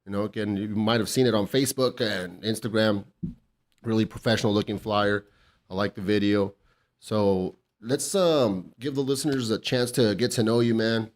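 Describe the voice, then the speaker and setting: A man's voice: lowish voice, normal speed. A man hosting a casual podcast interview, laid-back, swearing often and cheering his guest on. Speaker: podcast host.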